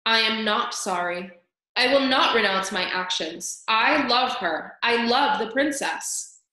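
A woman voicing a small, brave young male mouse who speaks earnestly and softly in his own defense. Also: fairly high pitch, animated, slow pace, full character voice. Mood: defiant. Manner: earnest, pleading, soft-spoken. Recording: echoey room.

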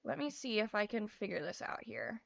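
Young woman streamer, a bit hoarse. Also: mid-range voice, lively intonation, normal speed, a bit bright, muffled recording. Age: young adult. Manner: casual, swears freely, chatty and rambling. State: getting hoarse. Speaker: livestream host. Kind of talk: casual chat while painting cards. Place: small bedroom. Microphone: lapel mic.

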